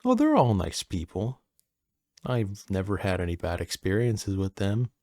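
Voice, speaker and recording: A man playing a friendly, easygoing personal assistant at a manor in an audio roleplay, soft-spoken, casual and a little hesitant. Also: lowish voice, wildly swooping pitch, measured pace, dry recording, exaggerated voice. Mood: welcoming, easygoing.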